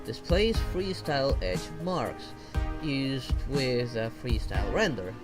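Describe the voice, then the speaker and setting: A man whose first language is not English, reading software documentation aloud slowly and haltingly and breaking off for casual asides. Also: medium pitch, expressive, over music. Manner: slow halting reading with self-interrupting asides. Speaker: vtuber streamer. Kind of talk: reading software docs aloud while learning.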